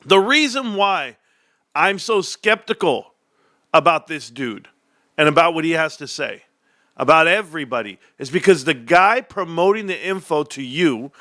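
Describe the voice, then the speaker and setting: Man, young adult, combative sarcastic rant, bright voice, dry close mic. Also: high-pitched, no room sound. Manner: combative, sarcastic, reads messages aloud. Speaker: stock commentary streamer. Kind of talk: heated casual rant.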